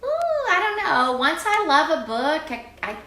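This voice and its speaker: A middle-aged woman reading a picture book aloud to children, expressive and exclaiming, with chatty conversational asides. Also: high voice, a little slow. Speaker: children's storyteller and drama teacher. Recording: roomy, echoey sound.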